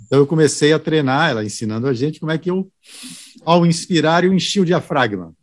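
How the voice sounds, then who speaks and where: A man who does voice-over work, telling stories from his career in a relaxed way during a chat with colleagues. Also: fairly high pitch, quick pace. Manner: relaxed, reminiscing anecdotal storytelling. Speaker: voice-over announcer. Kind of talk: casual chat among broadcasters.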